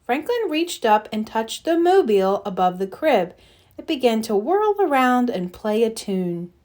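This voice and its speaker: A woman reading a children's story aloud in an expressive, scripted storytelling style. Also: fairly high pitch, a little slow. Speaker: storybook reader.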